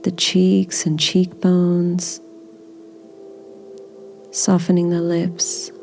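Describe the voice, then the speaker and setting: Low, slow, mellow woman guiding meditation. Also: monotone, faint music behind. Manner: gentle, soothing, unhurried. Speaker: meditation guide. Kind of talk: guided body scan meditation.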